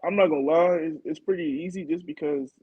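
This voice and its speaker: A young man from Florida, a college football player, answering interview questions in a relaxed way. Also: on the high side, a little fast, slightly rough, no echo, highs cut off. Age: young adult. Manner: relaxed, laid-back, many fillers like "like" and "man".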